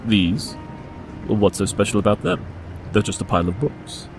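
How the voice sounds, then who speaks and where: Man acting a dry, superior, low-voiced detective. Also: lively intonation, moderate pace, gravelly, music in the background. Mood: composed, superior. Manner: curt, superior, dryly sarcastic. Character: brilliant, dry, condescending male pony detective with cat allergy. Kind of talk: audio drama acting.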